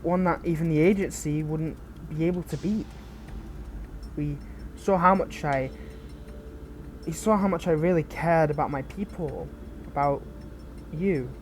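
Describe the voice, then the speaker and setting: A woman playing a protective, bitter but caring fallen hero in an audio roleplay, speaking intimately and earnestly with halting, dramatic pauses. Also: sits low, darkish tone, slight background noise. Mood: explanatory, hesitant. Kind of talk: scripted audio roleplay addressed to the listener.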